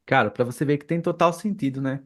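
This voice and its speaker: A Brazilian man with an average-pitched voice hosts a podcast. He speaks casually and builds toward his questions, often adding small tags that seek agreement. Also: fast, a bit bright. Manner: frequent tag questions seeking agreement. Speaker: podcast host.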